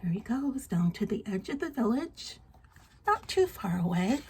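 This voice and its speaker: A woman reading a children's story aloud, gentle and expressive, chatting to her young listeners as she goes. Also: on the high side, moderate pace, slight background noise.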